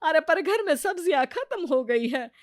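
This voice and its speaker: A woman playing a weary, patient older mother-in-law, gently plaintive, in a dramatised moral story. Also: high voice, expressive, moderate pace, no room sound, clean studio sound.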